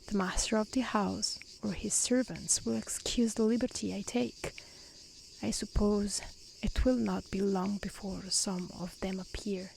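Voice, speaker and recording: A woman voicing a humble, polite merchant father in a storybook reading, soft, breathy and deferential. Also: mid-range voice, fairly even intonation, a little slow. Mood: apologetic. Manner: courteous, deferential, formal. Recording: faint outdoor insect noise.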